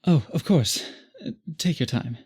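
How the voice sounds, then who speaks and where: A man voicing a courtly, possessive vampire in an intimate roleplay audio, with formal, florid, beseeching speech and a breathy voice. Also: mid-range voice, lively intonation, unhurried, darkish tone, full character voice. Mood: restrained, courteous. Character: courtly, obsessive, possessive male vampire pleading with the listener.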